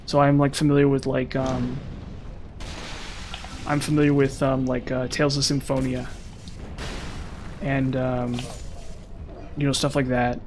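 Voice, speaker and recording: A young man streaming a game, chatting casually with viewers in a rambling way, his voice slightly hoarse from long talking. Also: medium pitch, level tone, unhurried, long pauses, darkish tone, a little hiss or hum. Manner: rambling, lots of "like" and "um". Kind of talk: casual live-stream chat while gaming.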